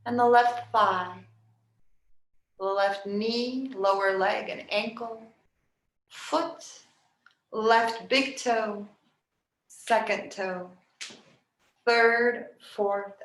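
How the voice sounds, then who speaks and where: Soft soothing lady doing yoga nidra relaxation, really slow w long pauses, echoey room. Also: medium pitch, fairly even intonation. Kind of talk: guided relaxation meditation. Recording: roomy, echoing sound.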